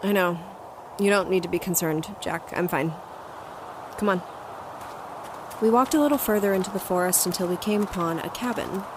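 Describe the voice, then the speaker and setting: A woman's voice: on the low side, moderate pace, pauses often, noisy background, close to an everyday voice. An actress playing a guarded, anxious woman field agent who narrates her story in an audio drama. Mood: tense, dismissive. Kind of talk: audio drama acting with first-person narration. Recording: music under the voice.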